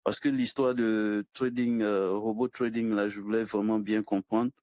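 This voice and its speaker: Low-voiced guy calling in, hesitant, lots of euh, dry sound. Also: steady tone, moderate pace, a little mellow, dry recording. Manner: hesitant, many fillers. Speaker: caller asking a question.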